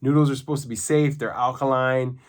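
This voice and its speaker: A man speaks in a casual video diary, his voice coarse and congested, sitting at an ordinary middle pitch. He explains things earnestly and plainly, with the easy flow of someone talking straight to his viewers. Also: steady tone, brisk. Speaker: vlogger documenting his own diet.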